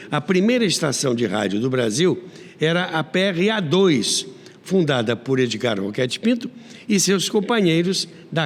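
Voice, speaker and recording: Brazilian guy telling history like an old-school radio announcer, very animated, big echoey reverb. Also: a little high. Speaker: radio history podcast host. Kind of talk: storytelling narration. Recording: long reverb on voice.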